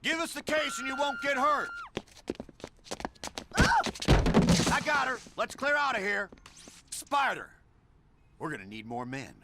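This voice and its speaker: A very high, swinging man's voice, as a menacing street thug. He speaks slowly against loud noise. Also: very animated, exaggerated voice. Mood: menacing, alarmed. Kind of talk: video game cutscene voice acting.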